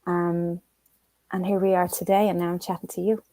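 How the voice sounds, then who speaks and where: A middle-aged woman with an Irish accent, a coach telling her story as a guest in an animated, expressive way. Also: fairly low pitch, brisk, no echo. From Ireland. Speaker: guest, personal development coach. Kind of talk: conversational interview.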